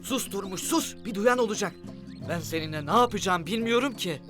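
A man's voice: high-pitched, lively intonation, a little fast, music in the background. A man voicing a bossy, exasperated cartoon schemer in a scolding, sighing manner. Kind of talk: cartoon voice acting.

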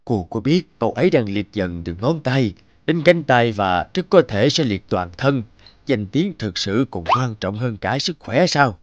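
A man playing a calm, concerned older doctor in an animated drama, measured and probing. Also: mid-range voice, no echo, dull audio. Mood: serious.

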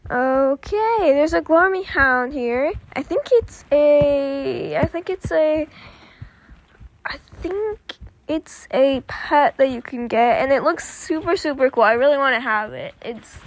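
A woman's voice: sits high, lively intonation, slow, a little hiss or hum. A young girl hosting a gaming video, sick and a little off-voice, chatting hesitantly and repeating words as she plays. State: sick, voice sounds off. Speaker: gaming channel host. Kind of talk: casual gameplay commentary.

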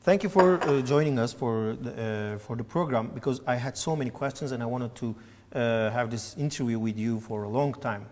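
A man's voice: mid-range voice, brisk, darkish tone, in a large hall, a little hiss or hum. Hesitant male host. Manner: hesitant, many fillers and restarts. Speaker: TV interview host. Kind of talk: studio interview. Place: TV studio. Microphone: lapel.

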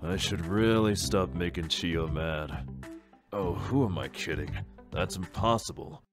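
A man's voice: deep voice, unhurried, music in the background. A man voicing a kindly, sheepish middle-aged hero teacher in an amateur anime comic dub, polite and placating. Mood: resigned.

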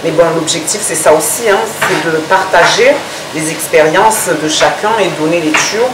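A woman in her thirties explaining her project in a conversational interview, pausing often between short phrases. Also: lowish voice. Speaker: restaurant owner. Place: restaurant terrace, dining room and working kitchen. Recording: distant, echoey, loud background noise.

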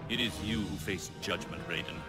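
An actor playing a sinister, smug underworld sorcerer, menacing and formal, as a video game villain. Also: male voice, low-pitched, normal speed. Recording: game cutscene, loud music underneath.

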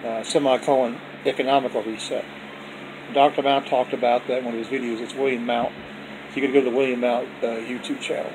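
A man's voice: medium pitch, flat delivery, brisk. Folksy man blessing viewers over home noise. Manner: folksy, repetitive, with religious blessings. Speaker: vlog host showing his dinner. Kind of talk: casual off-camera food vlog. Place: indoors at home, dining table.

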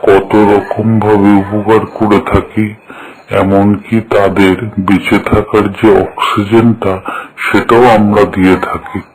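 A man voicing a grumpy, sorrowful talking tree in a cartoon story, with a weary, measured way of talking. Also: lowish voice, poor audio. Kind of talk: scripted cartoon story acting.

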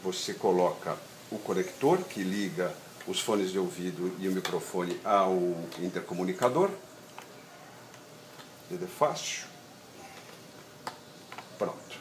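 A middle-aged man with a Brazilian accent giving an informal hands-on tutorial step by step, haltingly, with repeated words and little check-in tags. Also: low voice. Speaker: product demonstrator. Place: outdoor patio table in a garden. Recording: roomy echo, steady ambient noise.

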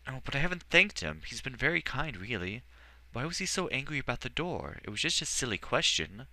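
Narrator's high-pitched young boy, timid and eager, breathless earnest asking. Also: very animated, a little fast, sharp tone, dry recording, exaggerated voice. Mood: anxious, eager.

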